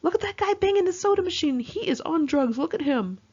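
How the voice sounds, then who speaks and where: Female narrator as fretful young party girl, paranoid and insistent, pitched well up, muffled audiobook sound. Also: lively intonation, fairly quick, a little mellow. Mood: paranoid, accusing. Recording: muffled lo-fi home recording.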